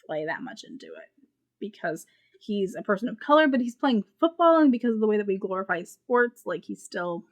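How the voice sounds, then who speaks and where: A woman's voice: medium pitch, wildly swooping pitch, fairly quick, dull audio. Woman giving a casual book review. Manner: informal, thinking aloud in loose, rambling sentences.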